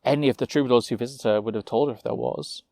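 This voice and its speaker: A man narrating a fantasy tale as a storyteller, with a measured, theatrical delivery. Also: medium pitch, vocal fry, darkish tone, no room sound.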